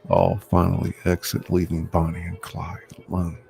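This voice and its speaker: Deep, gravelly, slow male narrator. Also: monotone, darkish tone. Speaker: narrator, reader. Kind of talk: scripted narration of screenplay stage directions. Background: faint music.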